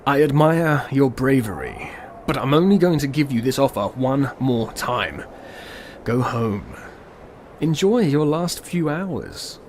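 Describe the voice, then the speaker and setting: A man acts out an ancient-evil destroyer for a radio drama. He takes his time over each phrase, smug and menacing in a mid-pitched male voice. Noise sits behind the recording. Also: big pitch swings, darkish tone. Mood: calm, ominous. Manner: unhurried, menacing, condescending. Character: calm, smug destroyer demon, world-ending evil being. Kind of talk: old-school radio drama acting.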